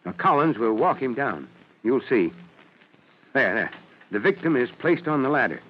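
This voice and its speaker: An actor playing a patient, authoritative fire chief who explains things kindly and instructively in an old-time radio drama. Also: male voice, medium pitch, sing-song, normal speed, a little hiss or hum, natural voice. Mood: patient explaining. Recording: dull old radio recording.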